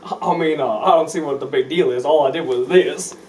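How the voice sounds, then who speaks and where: A man's voice: a little high, fairly quick, close to an everyday voice. A man playing a young, unbothered 1950s rock and roll star in an amateur sketch interview, answering hesitantly. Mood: casual, unbothered. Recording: noisy, distant microphone.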